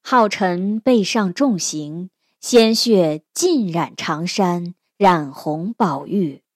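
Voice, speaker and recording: Unhurried, expressive woman storyteller. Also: lowish voice, no echo, studio quality. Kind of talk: expressive scripted fairy-tale narration.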